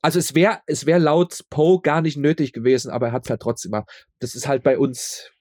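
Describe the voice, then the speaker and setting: A man's voice: medium pitch, steady tone, fairly quick, a little mellow, no room sound, studio quality. A man chatting as an interview guest, explaining emphatically and often repeating himself. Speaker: audio drama maker, interview guest. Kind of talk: casual interview chat.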